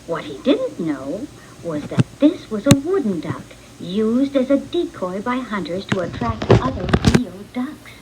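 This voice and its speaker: Female storyteller for children's read-along, very animated and unhurried, noisy recording. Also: fairly high pitch. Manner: expressive, deliberate read-along delivery. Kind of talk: scripted children's storybook narration.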